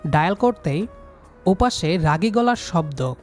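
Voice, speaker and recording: A man's voice: sits high, expressive, measured pace. A man narrating a scripted first-person story as the storyteller. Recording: music behind the voice.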